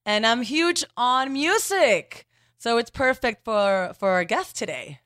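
A woman's voice: fairly high pitch, expressive, slow pace, fairly bright tone, no room sound, clean studio sound. A woman from Puerto Rico whose first language is Spanish, co-hosting a podcast in upbeat, chatty conversation. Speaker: co-host. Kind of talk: casual podcast chat.

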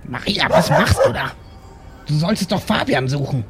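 A man's voice: on the high side, expressive, normal speed, a bit bright, faint music behind. A man playing a small, nearsighted, worried hermit adventurer who argues back, in an improvised fantasy tabletop audio game. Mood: doubtful.

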